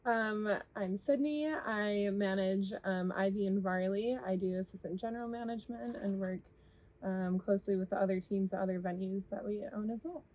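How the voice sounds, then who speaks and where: A woman's voice: medium pitch, fairly even intonation, normal speed, dark tone. A woman who works as a restaurant manager, talking in a chatty, hesitant way with many fillers. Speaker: restaurant assistant general manager. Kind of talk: casual chat.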